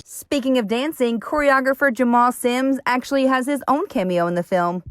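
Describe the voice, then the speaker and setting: A woman's voice: high-pitched, moderate pace. A woman hosting and reading scripted narration in an upbeat presenting tone. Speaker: host.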